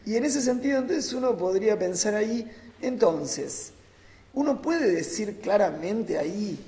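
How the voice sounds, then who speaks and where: A man giving an improvised philosophical talk on a panel, phrasing deliberately and emphatically in short bursts with pauses. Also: high-pitched, a little mellow. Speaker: panel speaker, academic. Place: large reverberant conference room. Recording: echoey hall with long reverb.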